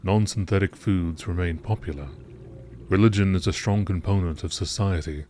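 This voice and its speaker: A man narrates in the style of a nature documentary. His phrasing is measured and deliberate, as he reads scripted text aloud. There is clear background noise and ambience in the recording. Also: low voice, dark tone. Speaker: video creator reading game lore aloud.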